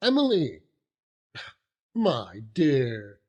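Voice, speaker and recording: Hearty old country seaman, chuckling, overjoyed, lively pitch, unhurried audiobook character. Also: highs cut off, exaggerated voice. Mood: overjoyed, flustered. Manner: chuckling, rambling, dialect-heavy storytelling with exclamations. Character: hearty old working-class seaman uncle, doting and overjoyed.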